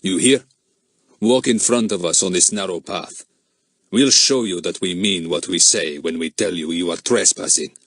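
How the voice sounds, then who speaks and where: A man voicing a grim, dark-eyed foreign man, low and clipped. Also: normal speed, dry recording.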